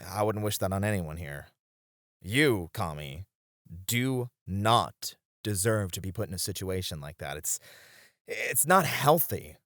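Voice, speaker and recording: A man playing a flirty, supportive club host in an ASMR roleplay, speaking in a gentle, reassuring way. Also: deep voice, sing-song, unhurried, no room sound, studio quality, heavily acted. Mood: earnest reassurance. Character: flirty, supportive male club host comforting his friends.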